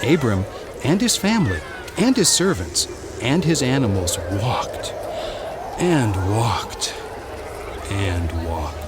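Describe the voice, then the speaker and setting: A man narrating a scripted children's Bible story in an expressive way, drawing out words for emphasis. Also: medium pitch, slow pace, pauses often, heavy background noise.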